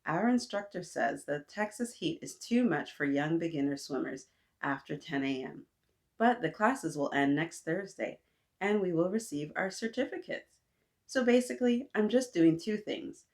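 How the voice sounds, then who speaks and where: A woman's voice: on the low side, animated, normal speed, darkish tone, lightly acted. Woman reading as a polite, chatty young storybook girl. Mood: earnest, explaining. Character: friendly, busy young girl in a picture book.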